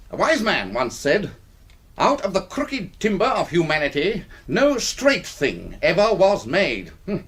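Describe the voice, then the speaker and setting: Blustering old army colonel, swooping high-pitched voice. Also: male voice, fairly bright tone, breathy edge, full character voice. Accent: British. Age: older. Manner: pompous, blustering, sardonic. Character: irascible, bereaved old retired army colonel, domineering and suspicious. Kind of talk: radio drama acting.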